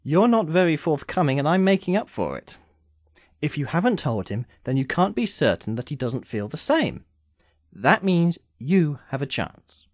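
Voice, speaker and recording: A man's voice: on the high side, very animated, no room sound. Immortal sorcerer played by a man, audio drama: suave, teasing, formal, swooping mid-range voice, very dry sound. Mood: coaxing, teasing. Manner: measured, theatrical, formal phrasing.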